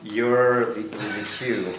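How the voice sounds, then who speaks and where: A man talking informally as an amateur playwright at a play-reading group, halting and repeating himself. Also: on the low side, steady tone, a crowd in the background. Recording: echoey room, faint noise.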